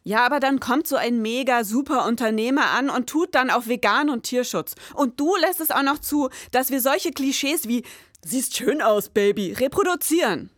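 A woman's voice: sits high, moderate pace, hardly any pauses, no room sound, studio quality. A woman co-hosting a podcast in scripted comic dialogue, speaking in an assertive, exasperated and emphatic way. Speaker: podcast co-host.

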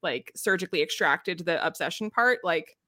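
A woman's voice: lowish voice, lively intonation, moderate pace, bright, forceful tone, dry recording, clean studio sound. A woman co-hosting a podcast, talking casually about books and peppering her sentences with "like". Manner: peppers talk with "like". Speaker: podcast co-host. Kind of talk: casual book discussion chat.

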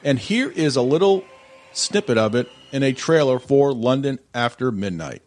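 Mid-pitched male podcast host. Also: lively intonation, normal speed, a little mellow. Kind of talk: casual podcast introduction and interview.

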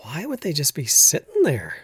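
An actor playing a practical, plainspoken rural farm husband in an audio drama, with a laconic delivery. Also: male voice, fairly high pitch, wildly swooping pitch, normal speed, husky, dry recording, exaggerated voice. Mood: laconic, skeptical. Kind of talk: naturalistic audio drama acting.

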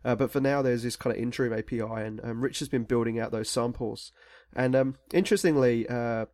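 A man's voice: medium pitch, brisk, a little mellow, no room sound. A man hosting a tech podcast, talking in a rambling, casual way with many fillers and restarts. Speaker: podcast host.

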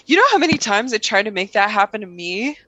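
Woman acting disgruntled would-be heroine, sharp bright voice, dry casual griping, comedy sketch, no room echo. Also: medium pitch, expressive, quick pace, lo-fi sound. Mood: envious, exasperated. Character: envious, disgruntled student who craves heroism.

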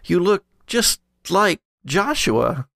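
A man's voice: sits high, very animated, takes it slowly, vocal fry, fairly bright tone, no room sound, clean studio sound, voice pushed up, heavily acted. A man voicing a wary middle-aged woman in a tabletop game, coy and knowing as she speaks. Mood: bewildered.

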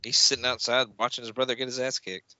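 A man's voice: mid-range voice, little pitch movement, fast, sharp tone, no echo, highs cut off. A man co-hosting a casual watch-along, throwing out crude, sardonic jabs. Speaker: podcast co-host.

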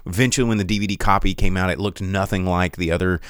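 A man with a low, smooth voice rambles through casual podcast commentary. He is animated and sarcastic, and recorded on a close mic in a dry, dead room. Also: quick pace, no room sound. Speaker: podcast host.

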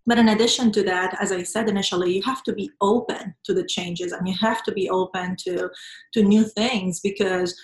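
A woman's voice: mid-range voice, fairly even intonation, fairly quick, roomy sound, poor audio. A woman from Venezuela with a Venezuelan Spanish accent, answering interview questions with earnest, reflective personal storytelling. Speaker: interview guest, nonprofit professional.

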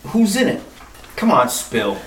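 A woman's voice: sits low, very animated, measured pace, recorded from a distance. A woman voicing a breezy, wisecracking male detective in an amateur retro radio drama. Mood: probing. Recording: echoey room, off mic, faint noise.

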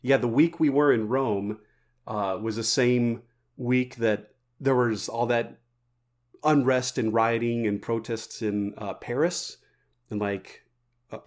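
A man's voice: mid-range voice, fairly even intonation, slow pace. A man co-hosting a podcast, telling jokey, rambling stories in casual banter. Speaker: podcast co-host.

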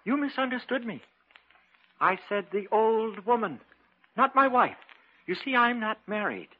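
A man's voice: high-pitched, expressive, a little slow. A man playing a strange, courteous, earnest stranger in a vintage radio drama, with a formal and odd way of talking. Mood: apologetic, eerie. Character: strange, polite, eerily earnest man seeking a doll. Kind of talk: 1940s-50s radio acting. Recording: dull, noisy vintage radio recording.

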